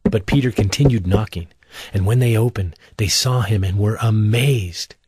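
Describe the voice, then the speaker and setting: A man giving a scripted, solemn and measured narration for an audio drama of scripture. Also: on the low side, darkish tone, husky, no echo.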